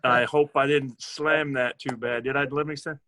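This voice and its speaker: A man's voice: mid-range voice, fairly even intonation, normal speed, bright, forceful tone. A man hosting a podcast who asks friendly questions and stumbles over fillers and repeated words. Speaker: podcast host. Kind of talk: casual interview.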